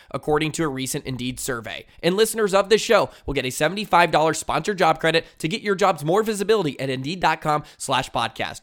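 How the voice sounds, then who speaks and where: A man's voice: on the high side, a bit bright. A man reading a scripted advertisement as a voice-over announcer. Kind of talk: scripted ad read.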